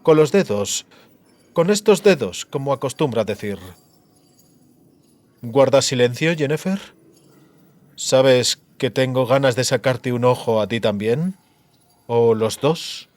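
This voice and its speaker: A man performs a cruel, disfigured sorcerer from a fantasy audiobook. He speaks in measured, theatrical monologue, gloating coldly. The mid-pitched voice is full of menace and sits in a dry, close sound. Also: darkish tone, no room sound, lightly acted. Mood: cold, resentful, then menacing.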